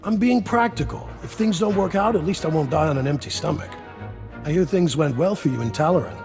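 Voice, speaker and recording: Man playing gravelly fat underworld boss. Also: on the high side, a little fast, over music, close to an everyday voice. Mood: uneasy, wry. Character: fat, gruff, worried male underworld boss. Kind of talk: video game character dialogue.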